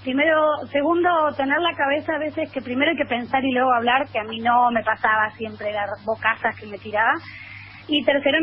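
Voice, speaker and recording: A woman in her thirties from Argentina, her accent mixing Argentine and Spain Spanish, telling her story at length as a guest on a radio show. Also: high-pitched. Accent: Argentine with Spanish (Spain) mix. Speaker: guest columnist. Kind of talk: phone interview. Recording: muffled lo-fi line with faint noise.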